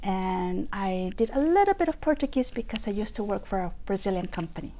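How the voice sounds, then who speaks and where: A woman's voice: mid-range voice, big pitch swings, brisk, dark tone, slight background noise, rough recording. A woman in her fifties from Peru, a native Spanish speaker, recalling her life as an interview guest. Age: 50s. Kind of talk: personal recollection in an interview.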